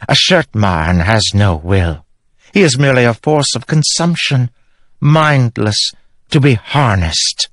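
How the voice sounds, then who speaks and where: A man voicing a scheming, haughty queen who mocks her husband in a high, scornful voice. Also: expressive, takes it slowly, fairly bright tone, dry recording, studio quality. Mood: gloating. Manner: scornful, sneering, high and pinched. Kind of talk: audiobook character voice.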